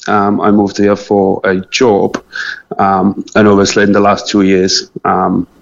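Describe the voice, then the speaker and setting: A man with a Czech accent speaks as a guest in a casual interview. His voice sits low with a lot of fry, and he comes across as modest and a bit hesitant. The sound is slightly dull and muffled. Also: completely flat delivery, measured pace. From Czech Republic. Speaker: interview guest, small clothing business founder.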